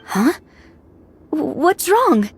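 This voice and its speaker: A woman voicing a tiny childlike fairy companion, excitable and easily startled, in an anime video game. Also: high-pitched, drawn-out words, music in the background, heavily acted. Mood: startled, curious. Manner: refers to self by name, gasps and stammers.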